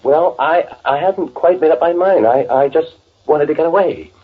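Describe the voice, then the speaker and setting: An actor playing a timid, fussy, evasive twin brother with a nervous stammer in an old-time radio drama. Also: male voice, on the high side, moderate pace, slightly rough, phone-quality sound. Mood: evasive, anxious. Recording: band-limited old radio drama recording.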